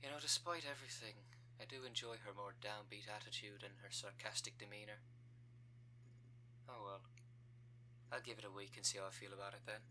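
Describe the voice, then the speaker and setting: A man's voice: on the low side, normal speed, slight background noise, close to an everyday voice, poor audio. A man voicing a scheming female cult recruiter in an audio roleplay, speaking with formal, businesslike politeness and dry condescension. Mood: somber.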